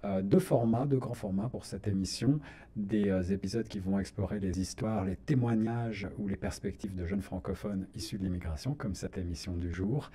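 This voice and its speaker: Brief: male radio host, low and mellow voice, formal and measured, pauses to find his words. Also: slight background noise. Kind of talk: radio talk show hosting. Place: radio studio.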